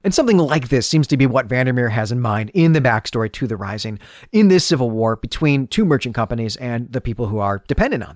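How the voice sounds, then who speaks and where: A man's voice: on the high side, no echo, clean studio sound. A man hosting a podcast, giving an articulate, explanatory literary analysis in a semi-scripted, lecture-like monologue. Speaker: podcast host.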